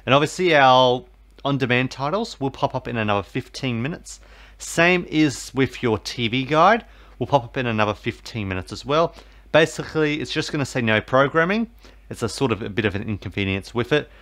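A man explains a TV box reset in a loose, rambling way. His voice sits at an ordinary middle pitch, and he keeps circling back with fillers and restarts. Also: fairly bright tone. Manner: rambling, filler-heavy, repeats "basically" and "obviously". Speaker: tech tutorial host. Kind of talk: casual how-to voice-over.

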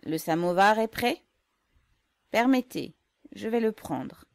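A woman reading aloud, voicing a jovial stout young man with a hoarse voice who chatters loudly without pausing for breath. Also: medium pitch, animated, dry recording, voice pushed up.

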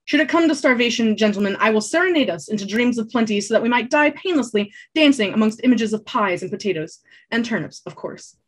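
A woman voicing a flamboyant young male bard who speaks in grand, melodramatic flourishes, with a slightly husky tone. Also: on the high side, expressive, fast talker, voice pushed up. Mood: grandiose.

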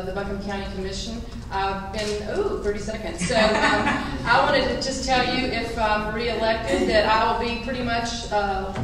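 A woman in her forties giving an earnest, brisk introduction as a political candidate. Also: mid-range voice. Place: large library meeting room, indoors. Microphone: table mic, recorded from a distant camera. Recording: echoey hall, distant mic, room noise.

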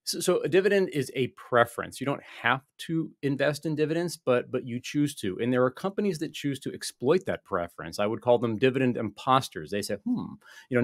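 A man's voice: medium pitch, dry recording. Man explaining investing, dry close sound. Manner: explanatory, many restarts and fillers. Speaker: guest investor. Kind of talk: conversational interview. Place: small office with wall panels. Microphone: desk microphone on video call.

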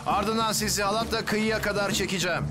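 A man voicing a calm, kind firefighter hero in a dubbed cartoon, speaking steadily and reassuringly. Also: high voice, fast, lightly acted. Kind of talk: Turkish cartoon dubbing. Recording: music bed under dialogue.

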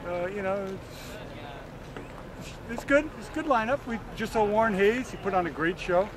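A man at a music festival giving his opinion haltingly, with lots of "you know" fillers. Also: high-pitched. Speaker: festival-goer. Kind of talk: casual interview. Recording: crowd noise.

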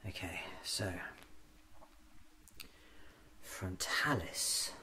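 A man in his thirties doing an ASMR roleplay as a scatterbrained, excitable alien doctor, muttering to himself in fragments, often in a whisper. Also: sits low, extremely slow, slight background noise. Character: scatterbrained, excitable time-travelling alien doctor, newly regenerated. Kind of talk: ASMR roleplay acted as a monologue.

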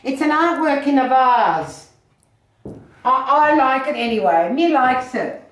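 High-voiced Australian woman in echoey rooms. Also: big pitch swings, a little slow. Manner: chatty, enthusiastic. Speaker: auction house presenter. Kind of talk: casual walk-through tour of auction items. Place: small indoor rooms of an auction house.

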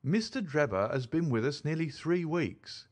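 A man's voice: fairly high pitch, animated, normal speed, pitched above the performer's own voice, lightly acted. A man voicing a distressed, respectable mother who keeps a boarding house, dramatic and formal, in an audiobook reading. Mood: grave, disapproving.

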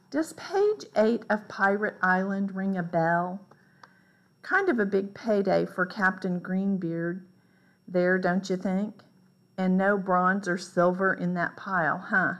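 Slow-paced woman reading a picture book. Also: lowish voice. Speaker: read-aloud storyteller. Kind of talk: picture book read aloud.

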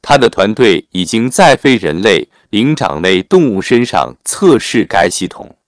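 A man narrating a written article in a formal, measured read-aloud manner. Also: fairly low pitch, sing-song, no echo, studio quality.

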